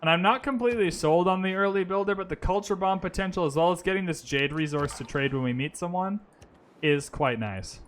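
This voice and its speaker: A man's voice: high voice, a little fast, a little hiss or hum. A man streaming a strategy game, thinking his moves aloud and chatting casually with his viewers. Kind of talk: casual gaming commentary. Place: lives in Vancouver.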